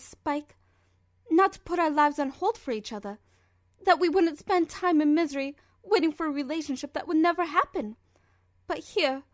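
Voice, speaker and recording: A woman's voice: sits high, no echo, studio quality, natural voice. A woman voicing a refined, lovestruck young female pony fashionista, theatrical and elegant, in an audio drama letter reading.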